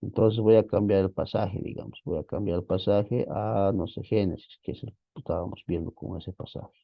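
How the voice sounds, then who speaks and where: A man teaching an online class, walking through study software on screen while thinking aloud, often tagging his sentences with a questioning "right?". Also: fairly low pitch, steady tone, moderate pace, gravelly, breathy edge, no room sound, muffled recording. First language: Spanish. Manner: casual, thinking aloud, frequent "¿no?" tags. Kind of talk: screen-share software walkthrough.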